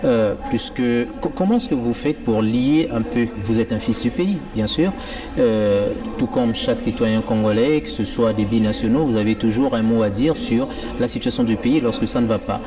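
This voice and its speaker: A man's voice: medium pitch, moderate pace. A man hosting a political TV interview, asking probing questions with some hesitation. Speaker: TV host. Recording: distant mic with music behind. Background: clear background music.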